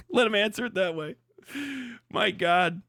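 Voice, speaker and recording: A man hosts a live stream and rants in a heated, profane way, breaking into laughter often. His voice is high and sharp, and it sounds close and dry. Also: slow pace, creaky, dry recording. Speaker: streamer host.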